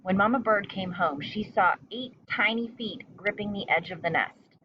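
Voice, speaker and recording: A woman's voice: mid-range voice, normal speed, distant mic, dull audio, a little hiss or hum. A woman reading a children's story aloud as narrator. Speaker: storybook reader.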